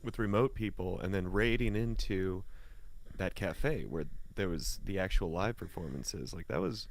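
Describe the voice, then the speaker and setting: A man from the United States talking casually as a guest, telling anecdotes in a laid-back, colloquial way with pauses mid-thought. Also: deep voice, slight background noise. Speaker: guest musician and voice talent. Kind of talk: casual chat, personal anecdotes.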